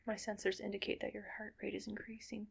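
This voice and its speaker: A woman playing a gentle, weary cyborg soldier in a whispered ASMR roleplay, speaking breathily in slow, halting, soothing phrases. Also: mid-range voice, little pitch movement, creaky, husky. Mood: gentle, reassuring.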